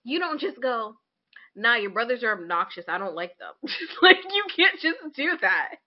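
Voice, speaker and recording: A woman podcast co-host with a fairly high, very animated voice. She talks informally and bluntly, slipping into imagined quotes as she gives opinions. The recording sounds slightly dull. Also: moderate pace. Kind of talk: casual opinionated chat.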